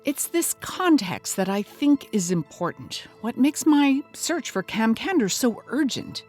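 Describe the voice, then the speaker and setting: A woman's voice: on the high side, big pitch swings, measured pace, a little mellow, faint music behind. A woman playing a dogged, earnest podcast host in a scripted mock documentary, with a dramatic delivery. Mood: earnest, intrigued.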